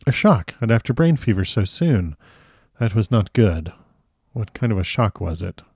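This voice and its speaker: A man's voice: lowish voice, very animated, moderate pace, darkish tone, breathy edge, no room sound, studio quality, exaggerated voice. A man voicing an earnest old professor in a storybook reading, with formal, effusive, broken foreign phrasing. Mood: concerned, tender. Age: older.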